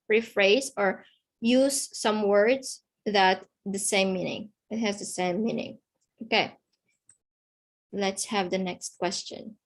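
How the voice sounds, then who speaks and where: Woman, online teacher, slow and deliberate, middle pitch, repeats key words. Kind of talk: online English lesson.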